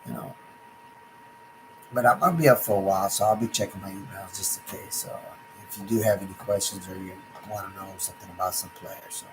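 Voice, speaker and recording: A man with a raspy voice from feeling sick, rambling casually about betting picks and promo codes with lots of fillers. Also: sits low, brisk, noisy background. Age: adult. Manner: rambling, many fillers and restarts. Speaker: sports betting tipster.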